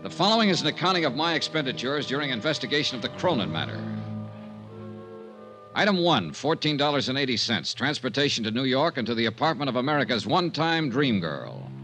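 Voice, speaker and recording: A man's voice: mid-range voice, big pitch swings, brisk, pushed and bright, soft background music. An actor playing a wry male insurance investigator in an old-time radio drama. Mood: dry narration. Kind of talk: 1950s radio drama acting and narration. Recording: vintage radio recording.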